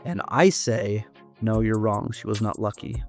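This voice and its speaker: A man's voice: on the low side, creaky, soft background music. Earnest man from Idaho telling his story. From Idaho, USA. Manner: earnest, halting, many restarts and fillers. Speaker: podcast guest. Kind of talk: storytelling interview.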